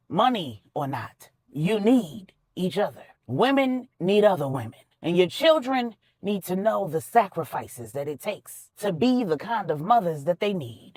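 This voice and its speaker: A woman's voice: medium pitch, no room sound, clean studio sound. A woman voicing a wise older mother in a story, giving earnest, folksy, emphatic counsel.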